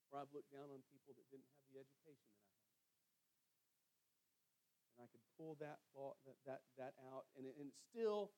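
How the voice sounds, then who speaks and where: A man preaching a sermon as storytelling and scripture reading, using emphatic pauses and repetition. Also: mid-range voice, warm tone, poor audio.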